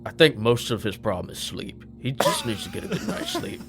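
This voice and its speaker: A man's voice: mid-range voice, wildly swooping pitch, fairly quick, fairly bright tone, soft background music. A man playing a tabletop roleplaying game, casually speaking for his character and describing him.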